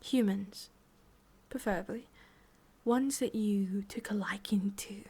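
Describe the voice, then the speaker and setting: A woman with an English accent playing a sadistic, condescending female demon boss in an ASMR roleplay, speaking deliberately and slipping between soft voice and whisper. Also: mid-range voice, breathy edge, exaggerated voice. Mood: scornful, disappointed.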